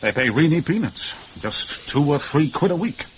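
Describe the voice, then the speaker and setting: An actor playing a brisk, wry English police detective in a period radio drama, talking in a blunt, colloquial way. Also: male voice, a little high, sing-song. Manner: colloquial, blunt, matter-of-fact. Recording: muffled radio recording, clear background noise.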